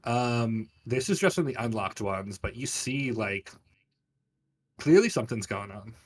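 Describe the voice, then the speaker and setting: A man's voice: on the low side, expressive, unhurried, far from the mic, poor audio. A man narrating a tabletop fantasy game as game master, improvising in a hesitant, conversational way.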